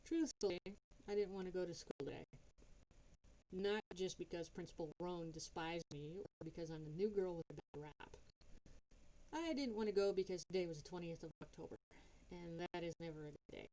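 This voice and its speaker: A woman reading a first-person story aloud in an expressive, dramatic narrating style. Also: fairly low pitch, brisk, low-quality recording.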